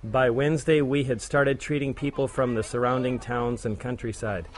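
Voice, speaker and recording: A man, a church volunteer, reading a plain, measured documentary voice-over about a mission trip. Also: mid-range voice, steady tone. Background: background music.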